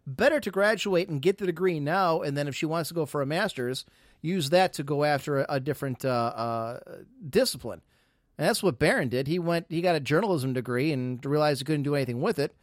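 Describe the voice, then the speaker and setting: Guy hosting a podcast, kinda high voice, rambly, jokey bragging, dry mic. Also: brisk, no room sound. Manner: rambling, teasing, self-mocking boasts. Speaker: podcast host. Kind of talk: casual live podcast chat.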